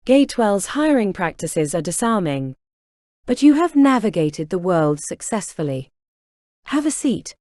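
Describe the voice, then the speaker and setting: A man reading an audiobook, voicing a haughty, stern middle-aged businesswoman in a high, clipped, commanding voice. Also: very animated, a little slow, darkish tone, no echo, studio quality.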